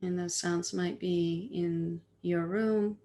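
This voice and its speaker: A woman leads a guided meditation in a fairly low voice. She speaks very slowly and gently, leaving long pauses between phrases. Her delivery is soothing, like a teacher giving soft instructions. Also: fairly even intonation.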